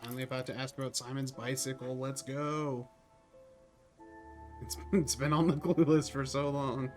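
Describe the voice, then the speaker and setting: A man's voice: medium pitch, level tone, moderate pace. A man livestreaming a game, giving casual commentary with wry, amused asides. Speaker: livestreamer, speedrunner. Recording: game music clearly behind.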